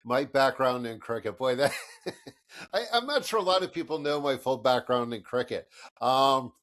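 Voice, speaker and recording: A middle-aged American man talks as a podcast co-host in a casual, wandering way. His voice sits in a middle pitch, and he often laughs at himself as he goes. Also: lively intonation, normal speed, a bit bright. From United States. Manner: rambling, self-deprecating, laughs often.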